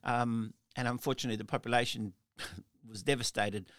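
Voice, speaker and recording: Man, interview host, bright tone, rambling questions, dry close sound. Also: medium pitch, steady tone, normal speed, no echo. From Australia. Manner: rambling questions with frequent restarts and laughs. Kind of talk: casual conversational interview.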